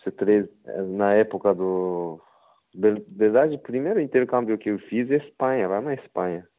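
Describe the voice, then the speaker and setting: Interviewed footballer: Japanese-accented man, low voice, halting non-native Portuguese over a thin phone connection. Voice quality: halting, simple Portuguese with many fillers. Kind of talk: casual interview. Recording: tinny phone-line sound.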